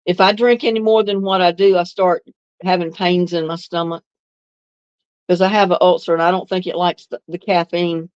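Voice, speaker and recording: A woman's voice: on the low side, level tone, normal speed, a little mellow, clean tone, no room sound. An older woman from North Carolina, chatting casually on a livestream and reading viewer comments in a homey way, laughing often. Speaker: livestream host. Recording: dull, lo-fi dry sound.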